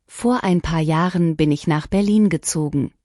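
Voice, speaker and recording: A woman reading short lesson sentences one at a time, each clearly and deliberately, for learners to repeat. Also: low voice, warm tone, no room sound, studio quality. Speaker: language lesson reader. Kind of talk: scripted shadowing practice reading.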